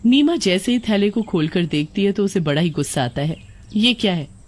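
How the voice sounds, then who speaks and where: A woman's voice: mid-range voice, animated, fairly quick, darkish tone. A woman storyteller reading a scripted tale as narrator.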